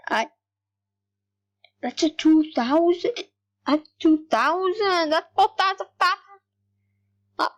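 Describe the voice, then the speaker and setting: A woman giving rambling, excitable commentary over her gameplay, talking straight to her viewers. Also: very high-pitched, takes it slowly, pauses often. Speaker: gaming YouTuber.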